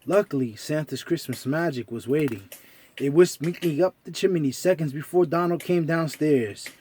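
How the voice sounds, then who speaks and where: A man with a slightly high voice reads a children's story. He narrates expressively, as a storyteller does for young listeners. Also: normal speed, warm tone, a little hiss or hum.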